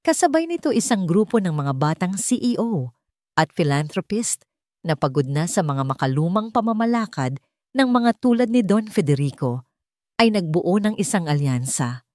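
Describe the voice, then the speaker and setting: A low-voiced Filipino-accented woman narrates a dramatic audio story. Her phrasing is measured and deliberate, with pauses for weight. The recording is dry and close, with very little room sound. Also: sing-song, darkish tone, dry recording.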